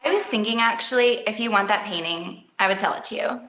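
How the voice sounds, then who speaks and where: Woman reading a blunt young painter lady, bright voice, kinda echoey room, matter of fact. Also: mid-range voice, fast, natural voice. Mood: offering. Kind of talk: table read of a comedy script.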